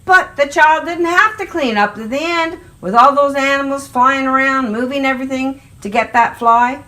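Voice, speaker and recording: A woman hosting story time, reading a picture book aloud to young children with deliberate, dramatic emphasis on key words. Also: high-pitched, bright, forceful tone. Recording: roomy, echoey indoor sound.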